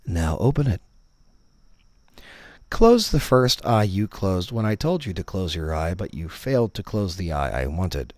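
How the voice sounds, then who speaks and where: A man with a very low voice leads a mock guided meditation at a slow, drawn-out pace. His delivery is deadpan and condescending, yet his pitch swings widely as he gives each instruction. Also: dry recording, clean studio sound. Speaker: narrator of a parody guided meditation. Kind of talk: scripted parody guided meditation narration.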